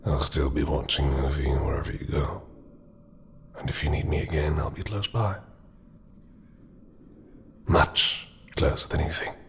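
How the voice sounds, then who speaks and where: Very deep, gravelly immortal god played by a man. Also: slight background noise, exaggerated voice. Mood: tender, intimate. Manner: intimate, slow, dropping into murmurs and whispers. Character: immortal male deity, possessive, tender, darkly seductive ruler. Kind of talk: dark fantasy romance audio roleplay.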